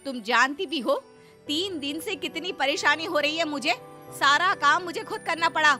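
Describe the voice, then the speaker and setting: Woman acting sharp, high-pitched scolding mistress. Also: moderate pace, faint music behind. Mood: stern, annoyed. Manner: sharp, bossy. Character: stern, scolding, demanding mistress of the house. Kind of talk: animated moral story voice acting.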